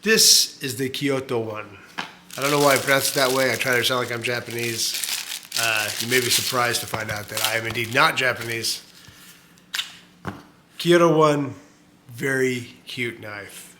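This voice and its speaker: A man's voice: mid-range voice, fairly even intonation, moderate pace, noisy background. A middle-aged man hosting a casual knife review channel, rambling and self-mocking, full of fillers and jokes.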